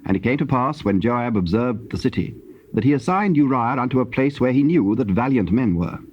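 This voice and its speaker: A man's voice: mid-range voice, lively intonation. Solemn British male narrator, muffled tape. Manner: solemn, measured storytelling. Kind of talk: scripted narration of King James scripture. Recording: dull, hissy old cassette tape.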